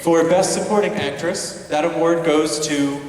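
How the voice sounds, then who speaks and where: A man's voice: fairly high pitch, fairly even intonation, measured pace. A man announcing awards live as the presenter of a ceremony. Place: large indoor auditorium. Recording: distant echoey hall, loud crowd and noise. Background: loud audience and noise.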